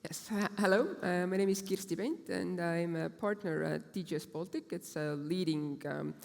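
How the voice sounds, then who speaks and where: A woman's voice: on the low side, moderate pace, vocal fry, darkish tone. A woman lawyer introducing herself on a conference panel, businesslike with some hesitation. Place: large conference hall stage. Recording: hall reverb.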